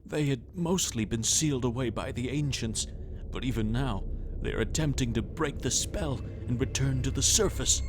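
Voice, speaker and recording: A man voicing a doomed father turned monstrous, speaking in a solemn, measured way in an amateur manga dub. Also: medium pitch, background noise, natural voice.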